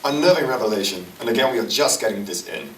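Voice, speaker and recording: A man's voice: lowish voice, animated, fast talker, slight background noise, close to an everyday voice. A man playing a formal TV news anchor reporting an outbreak in an amateur stage play. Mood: urgent, formal. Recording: distant echoey stage recording.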